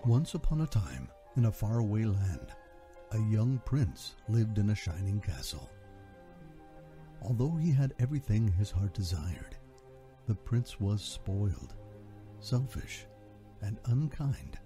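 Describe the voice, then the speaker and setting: Mellow low-voiced man narrating a fairy tale. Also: big pitch swings. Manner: measured, solemn, short dramatic phrases. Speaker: podcast host and narrator. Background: music under the voice.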